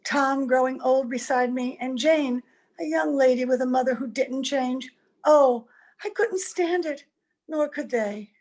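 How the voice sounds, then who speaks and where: A woman's voice: high-pitched, a little slow, a little mellow. A woman playing an anguished wife and mother who can never age, earnest and pleading, in old-time radio drama style. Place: at home, recorded over a video call.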